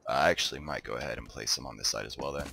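Deep-voiced congested man narrating gameplay. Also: very fast, creaky, slight background noise. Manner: relaxed, chatty, talks to himself while building. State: congested, slightly stuffed-up. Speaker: gaming video host. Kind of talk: casual gameplay commentary.

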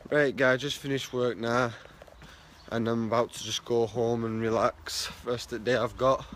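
A man's voice: mid-range voice, steady tone, measured pace, a bit bright, slight background noise. A young man from the north of England, vlogging casually into a phone held at arm's length while walking outdoors. He rambles with lots of fillers and repeats himself. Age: young adult. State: blocked nose, sounds stuffy. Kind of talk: casual selfie vlog. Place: outdoors on a street walking to work.

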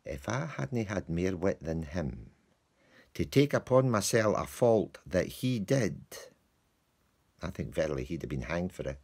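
An actor playing a comic lowly male servant, telling a broad Scottish-dialect tale of his dog with mock indignation. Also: big pitch swings, unhurried, vocal fry, darkish tone, slightly rough, heavily acted. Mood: rueful. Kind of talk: theatrical monologue.

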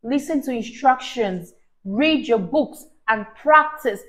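High-pitched, unhurried woman reading to children. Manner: expressive, encouraging, teacherly, prompting the listener with questions. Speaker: children's story reader and teacher. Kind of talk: animated read-aloud for a young child with explanations and questions. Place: small indoor room on a sofa. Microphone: lapel mic.

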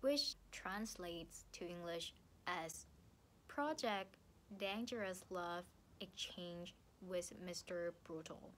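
A young woman from Thailand with a Thai accent, chatting playfully to the camera from her sofa about books, with lively hand gestures. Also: on the low side, takes it slowly, slight background noise, low-quality recording. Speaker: book vlogger. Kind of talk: casual vlog to camera. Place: living room, seated on a sofa. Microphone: camera in front.

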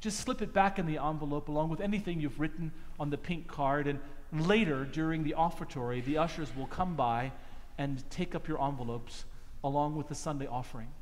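High-voiced priest in a cathedral. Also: male voice, a little fast. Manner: earnest, emphatic preaching delivery. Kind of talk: sermon. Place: large cathedral, speaking from a pulpit. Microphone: pulpit microphone. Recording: long reverb of a large cathedral.

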